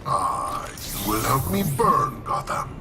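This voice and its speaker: A man's voice: mid-range voice, big pitch swings, slow pace. An actor playing a hulking, menacing male brute in a video game, with stiff, formal phrasing. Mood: grandiose menace. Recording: voice under loud game music.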